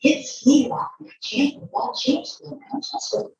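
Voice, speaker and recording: A woman reading a picture book aloud to a child, expressive, drawing out words and adding chatty asides. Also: sits high, measured pace, heavy reverb, distant mic, muffled recording.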